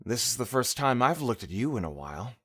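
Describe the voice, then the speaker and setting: An awkwardly caring comrade in an audio drama. The delivery is casual and hesitant, yet varied in pitch. It sounds close and dry. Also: sing-song, a little fast, a bit bright, breathy edge, no room sound. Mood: casual, concerned.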